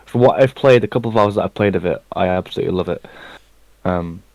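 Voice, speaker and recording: A man's voice: low voice, fast talker, creaky, darkish tone, no echo, poor audio. A man co-hosting a casual podcast, talking loosely with lots of fillers and restarts. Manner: rambling, many fillers and restarts. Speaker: podcast co-host. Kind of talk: casual chat.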